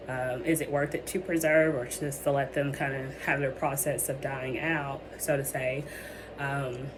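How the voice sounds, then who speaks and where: Low, hoarse young woman presenting. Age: young adult. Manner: hesitant, many ums and restarts. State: losing her voice. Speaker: student presenting a capstone project. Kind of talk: presentation with many fillers. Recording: noisy background ambience.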